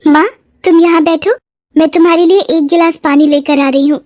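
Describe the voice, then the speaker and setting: A woman's voice: extremely high pitch, fairly even intonation, moderate pace, a little mellow, no room sound, voice pushed up. A woman voicing an earnest, emotional young stepdaughter, dutiful but brave, in a moral story. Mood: caring.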